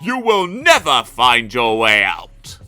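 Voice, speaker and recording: A storyteller voicing a gleeful male villain in a children's story, gloating loudly and drawing words out. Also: mid-range voice, huge pitch swings, faint music behind, heavily acted. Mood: triumphant menace. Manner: loud, drawn-out, gloating delivery.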